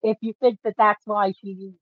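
A woman's voice: mid-range voice, flat delivery, normal speed, no echo. A woman co-hosting a casual book discussion, explaining things and often checking that she is followed, on slightly dull audio. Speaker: podcast co-host.

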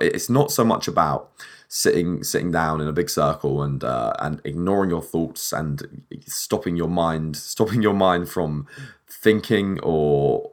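A young man with a husky, deep voice, hosting a solo podcast and rambling with restarts, a bit dehydrated. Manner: rambling, repeats and restarts words. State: a bit dehydrated and short on sleep. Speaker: podcast host.

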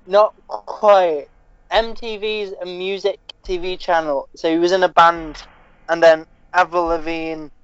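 A man trades dry, teasing remarks and quick asides in a casual comedy chat. His voice is muffled and slightly dull in the recording. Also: on the high side, smooth, no echo. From Liverpool, England.

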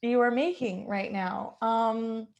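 Woman playing exasperated, higher-voiced young-sketch straight woman. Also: slow pace. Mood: annoyed, puzzled. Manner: casual, exasperated. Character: exasperated woman confronting a broken-down man. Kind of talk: improvised sketch comedy.

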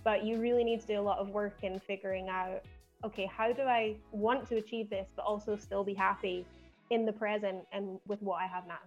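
A young woman with a softened Glaswegian lilt talks in an earnest, chatty way, laughing easily as she goes. Her voice sits at an ordinary middle pitch. The sound is a little dull and lo-fi, with faint music underneath. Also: steady tone, fairly quick. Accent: softened Glaswegian Scottish. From Scotland. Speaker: podcast guest. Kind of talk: casual chat.

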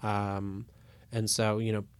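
A man in his thirties, born in Moscow, talking thoughtfully as a guest with many pauses and fillers. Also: fairly low pitch, monotone, clear, resonant tone. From Russia. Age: 30s. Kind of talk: casual interview talk.

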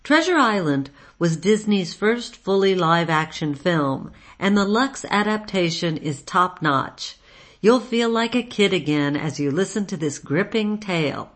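A woman's voice: mid-range voice, expressive, unhurried. A woman hosting a radio program, reading a scripted introduction in a polished presenter's manner. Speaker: radio show host.

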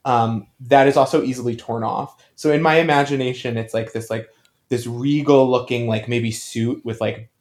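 A mid-pitched man chats as a podcast panelist about pop culture. His delivery is campy and wry, leaning emphatically on key words in a loose, conversational style. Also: fairly even intonation, moderate pace, darkish tone. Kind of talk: casual pop-culture commentary.

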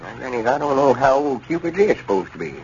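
An actor playing a proud, touchy older country storekeeper with a folksy rural Arkansas drawl in a 1930s radio comedy. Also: male voice, mid-range voice, very animated, quick pace. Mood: defensive. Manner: folksy, self-important drawl. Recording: muffled, noisy 1930s radio recording.

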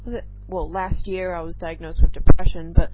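A woman's voice: fairly low pitch, level tone, a little fast, heavy background noise. A young woman from England, with a mixed accent that sounds mostly American, hosts her podcast as a casual solo chat. She talks nervously and rambles, with lots of ums. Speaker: podcast host. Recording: dull lo-fi recording, faint music.

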